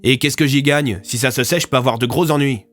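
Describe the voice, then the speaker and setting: A man's voice: mid-range voice, fairly quick, fairly bright tone, soft background music, voice pushed up, natural voice. A man doing a wary sergeant, raised voice. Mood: reluctant, wary. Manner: hesitant, deferential. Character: reluctant, wary young male soldier, a sergeant. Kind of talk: French audio fiction acting.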